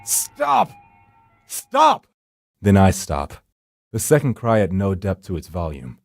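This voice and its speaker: Man, low pitch, mellow dark tone, brooding theatrical narration, visual novel read-aloud, faint music behind. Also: lively intonation, normal speed, dry recording. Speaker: gameplay channel host reading aloud.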